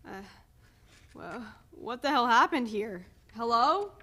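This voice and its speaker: A woman's voice: a little high, sing-song, slow, pushed and bright, heavily acted. A woman playing a groggy, bewildered man waking up confused in a staged play reading.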